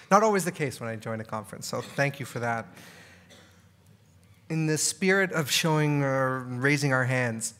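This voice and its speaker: A man's voice: medium pitch, a bit bright. Man giving a casual keynote talk. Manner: casual, repeats words, many ums and "right?". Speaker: keynote speaker. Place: conference hall.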